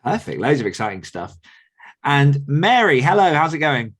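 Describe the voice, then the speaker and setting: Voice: mid-range voice, sing-song, normal speed, fairly bright tone. Easygoing podcast host on a group call. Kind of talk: casual group discussion on a live online call. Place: online video call.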